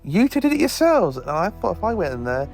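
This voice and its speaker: A man's voice: high voice, sing-song, brisk, creaky, a little mellow, soft background music, exaggerated voice. A man playing an eccentric, kindly alien professor who travels through time, confident but given to hesitant asides in an audio drama. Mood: breezy.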